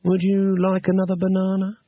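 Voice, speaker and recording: A man voicing a careful, scheming male scholar who speaks slowly and deliberately. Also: high-pitched, completely flat delivery, dry recording, voice pushed up. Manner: slow and deliberate. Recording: husky, narrow phone-like sound.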